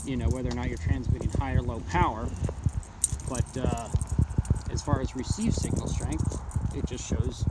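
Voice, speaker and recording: A man giving a casual, off-the-cuff product demonstration, speaking haltingly and thinking aloud as he goes. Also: mid-range voice, fairly even intonation. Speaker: amateur radio hobbyist reviewing handheld radios. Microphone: handheld phone camera. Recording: noisy phone video with clicks.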